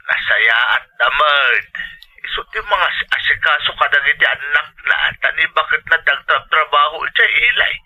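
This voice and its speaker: A man's voice: a little high, lively intonation, moderate pace, sharp tone, full character voice. An actor playing a tender, grateful elderly father on a phone call in a radio drama, with an Ilocano accent. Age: older. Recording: thin, band-limited telephone-style sound.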